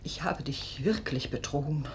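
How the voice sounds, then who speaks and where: An actress playing an anxious, guilt-ridden older wife in a radio play, speaking earnestly. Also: female voice, low-pitched, expressive, normal speed, a little hiss or hum. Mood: subdued confessing.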